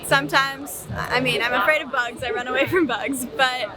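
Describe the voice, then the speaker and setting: High-voiced woman in a loud crowd. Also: steady tone, moderate pace, over music. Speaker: passerby. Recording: loud street crowd noise.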